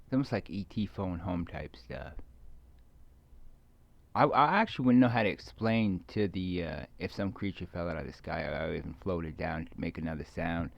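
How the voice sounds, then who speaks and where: Low, gravelly man musing haltingly, muffled recording. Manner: halting, hesitant, often repeating words; musing, trailing off mid-thought. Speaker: video creator. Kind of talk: rambling casual solo monologue.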